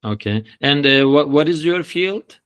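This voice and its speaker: Smooth-voiced Turkish man, politely hesitant. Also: fairly high pitch, lively intonation, unhurried, fairly bright tone. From Turkey. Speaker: conference presenter. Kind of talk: casual online meeting chat. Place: online video meeting.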